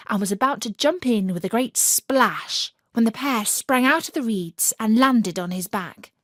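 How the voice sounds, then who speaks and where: A woman narrates a children's story at an unhurried pace. Her voice sits at a medium pitch, with expressive, measured phrasing. The recording is dry and close, with very little room sound. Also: no room sound.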